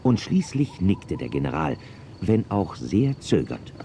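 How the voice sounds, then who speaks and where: A man's voice: low-pitched, big pitch swings, measured pace, gravelly, warm tone, exaggerated voice. An actor playing a man who recounts his own Western adventure as scripted radio play narration. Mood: suspenseful. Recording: noisy outdoor sound-effect backdrop.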